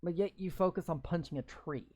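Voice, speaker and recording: A man reading a game's story text aloud in a slow, deliberate way, breaking off for blunt, sweary, sarcastic asides. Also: a little high, heavy background noise. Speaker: gamer reading a visual novel aloud. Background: game music.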